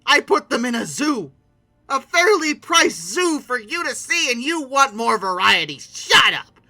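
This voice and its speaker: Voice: sits high, sing-song, measured pace, sharp tone. A game reviewer giving an informal, comedic video commentary with a theatrical, sarcastic delivery that leans toward mock outrage. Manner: sarcastic asides. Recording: music bed behind the voice. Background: music.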